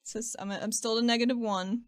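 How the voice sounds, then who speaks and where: Higher-voiced woman playing a tabletop game. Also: steady tone, a little fast, no room sound, clean studio sound. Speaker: player in a tabletop roleplaying game. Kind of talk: casual game chat with bits of roleplay. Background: background music.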